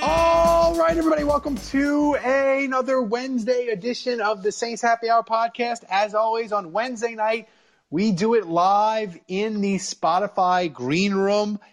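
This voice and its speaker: A man's voice: extremely high pitch, steady tone, measured pace, vocal fry, faint music behind. A man hosting a sports podcast in casual chat, talking in a chatty, emphatic, enthusiastic way. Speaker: podcast host.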